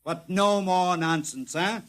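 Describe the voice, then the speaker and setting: A man's voice: sits high, slow, background noise. An actor playing a stern, condescending male bureau chief in a 1950s radio drama, with a patronizing, authoritative manner.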